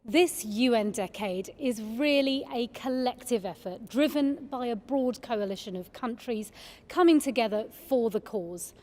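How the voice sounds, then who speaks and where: A woman presenting a formal event from a script, introducing in measured official language. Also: a little high, a little mellow. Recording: long reverberant hall echo.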